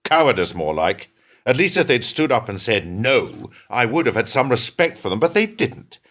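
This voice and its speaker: A man's voice: medium pitch, very animated, moderate pace, a bit bright. Man playing boss in a radio play, hard n proud, bitter sarcastic, really up and down delivery. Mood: scornful, sarcastic. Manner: scornful, sarcastic, emphatic.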